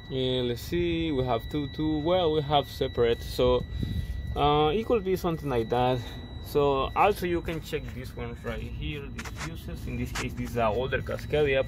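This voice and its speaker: A man's voice: mid-range voice, background noise. Man walking through a truck fault. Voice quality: non-native English with filler-heavy restarts. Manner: explains step by step, pointing things out. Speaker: diesel truck mechanic. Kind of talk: casual how-to walkthrough. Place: truck cab and battery compartment.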